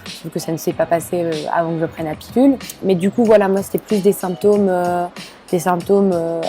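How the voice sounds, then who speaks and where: A young woman in her late teens from Belgium, telling her own story with many pauses, fillers and restarts. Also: lowish voice, mellow, faint music behind. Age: young adult. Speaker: student sharing her experience. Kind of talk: personal testimony to camera.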